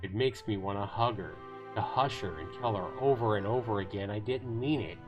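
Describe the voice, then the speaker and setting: A man's voice: fairly low pitch, brisk, highs cut off. A man reading visual novel narration aloud on a livestream, telling it dramatically with the occasional stumble. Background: guitar music.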